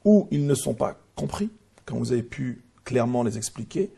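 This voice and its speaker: A male interviewer with a gravelly, slightly husky voice. His tone is dark, and he presses his guest with insistent, probing questions. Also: medium pitch, lively intonation, normal speed.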